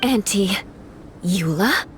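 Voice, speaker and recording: A woman voicing a poised, aloof young noblewoman knight in a video game, measured and formal with a soft side. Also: mid-range voice, expressive, slight background noise. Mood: cool, taken aback.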